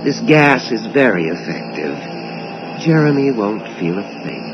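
An actor playing a callous mad-scientist dentist in a radio suspense drama, speaking with genteel, matter-of-fact menace and condescending reassurance. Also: male voice, lowish voice, sing-song, unhurried, over loud music. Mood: calm, patronising. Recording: dull, noisy old radio drama recording.

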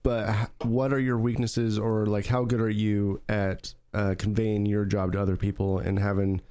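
Rambling low-voiced podcast co-host. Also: male voice, flat delivery, normal speed, warm tone, clear, resonant tone. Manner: rambling, many fillers like "you know". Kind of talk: casual chat.

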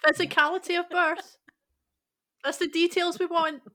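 A woman's voice: very high-pitched, flat delivery, moderate pace, fairly bright tone. A woman chatting casually as a guest on a podcast. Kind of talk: casual chat.

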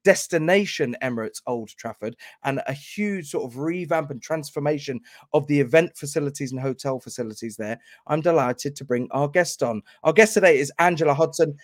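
A man's voice: fairly high pitch, dry recording. Chatty man hosting a podcast. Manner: conversational, explains at length with fillers. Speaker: podcast host. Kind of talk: podcast interview.

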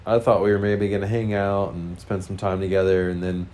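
A man's voice: low-pitched, completely flat delivery, brisk, a little mellow. A man with a congested, nasal voice, casually telling a frank, rambling personal story full of fillers.

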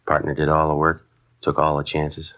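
An American actor playing a stoic, businesslike police sergeant in a 1950s radio drama, speaking in terse, matter-of-fact phrases. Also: male voice, rumbling low, completely flat delivery, moderate pace, fairly bright tone. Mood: quietly reflective. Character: stoic, businesslike male police detective sergeant, narrating his cases. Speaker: lead actor and narrator.

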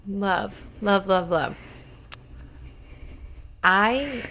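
A woman co-hosting a podcast, speaking candidly in quick bursts. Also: medium pitch, bright, forceful tone, a little hiss or hum. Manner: candid, talks fast in bursts. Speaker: podcast co-host. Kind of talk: casual podcast chat.

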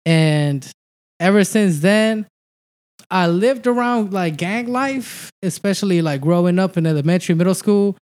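A man's voice: sits high, lively intonation, measured pace, a little mellow, dry recording. A young man from El Salvador, a stand-up comic, talking loosely as a podcast guest, swearing and laughing a lot. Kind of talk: casual podcast chat.

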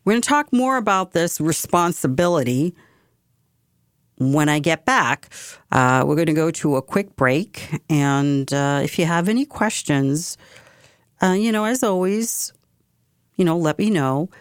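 A woman's voice: sits low, expressive, measured pace, no echo, clean studio sound. A woman hosting a community radio talk show, speaking with passion and repeating phrases for emphasis. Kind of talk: opinionated talk radio monologue.

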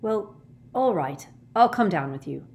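A woman's voice: mid-range voice, lively intonation, a little slow, darkish tone. A woman voicing a lovesick teenage boy in a storybook reading, breathless and dreamy, easily enraged. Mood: glum, evasive. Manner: breathless, sighing, overwrought delivery.

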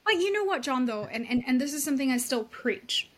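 A woman's voice: sits high, lively intonation, fairly quick, a crowd in the background. A woman from England, a real estate coach, talking emphatically and candidly as a podcast guest over a video call from home. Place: home room abroad.

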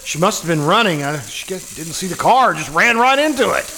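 A man's voice: high-pitched, big pitch swings, a little fast, bright, forceful tone, full character voice. A man playing a nervous, protective husband in an old-time radio suspense drama, urgent and dramatic. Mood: tense, low. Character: nervous husband trying to protect his wife. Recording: live performance, noisy sizzling storm background.

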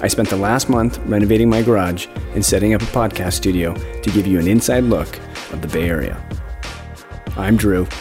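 A man's voice: fairly low pitch, normal speed, over music. Male podcast host, casual and talkative, garage studio sound. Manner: loose and chatty, laughs often, many fillers. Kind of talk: casual interview chat.

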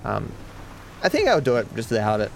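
A man's voice: medium pitch, huge pitch swings, very fast, creaky, soft background music. A tired man from Australia with a cultivated Australian accent, musing as he answers viewer questions in a casual ramble, trailing off with fillers and restarts.

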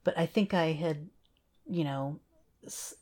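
A woman's voice: sits low, slow pace, warm tone. A woman co-hosting a casual trivia discussion in an understated, somewhat hesitant way. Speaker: podcast co-host.

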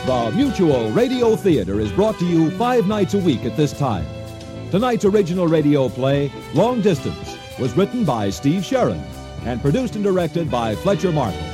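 A male radio announcer reads scripted credits over prominent music. His delivery is fairly high in register and rises and falls a lot. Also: big pitch swings, moderate pace. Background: theme music.

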